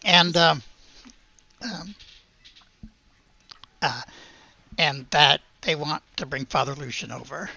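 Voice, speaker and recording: Halting game master with a creaky voice. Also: mid-range voice, pushed and bright, dry recording. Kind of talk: narrating a tabletop roleplaying game.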